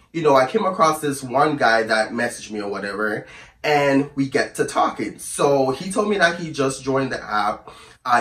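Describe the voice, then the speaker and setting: A man with a hoarse voice from the flu, telling a storytime to camera in a chatty, sassy, rambling way and calling his viewers "girl". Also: medium pitch, a bit bright, roomy sound, recorded from a distance. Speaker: storyteller vlogger. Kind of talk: casual storytime chat to camera.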